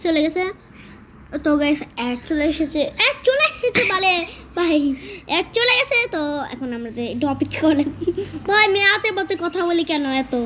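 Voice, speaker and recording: A young-sounding game host giving casual, excitable gameplay commentary, often repeating words in rapid bursts. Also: extremely high pitch, crowd noise. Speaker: gaming video host.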